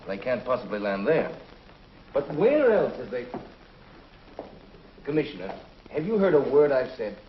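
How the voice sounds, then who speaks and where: A man's voice: medium pitch, big pitch swings, a little slow, long pauses, a little hiss or hum. An actor playing an urgent, insistent male officer in a 1950s TV drama, on a muffled old soundtrack.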